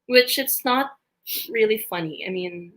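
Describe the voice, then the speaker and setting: A woman's voice: mid-range voice, measured pace, fairly bright tone, poor audio. A young Filipino woman in her twenties hosting a live chat, tipsy, giggly and rambling, switching between English and Tagalog with a Filipino accent. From Philippines. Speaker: host.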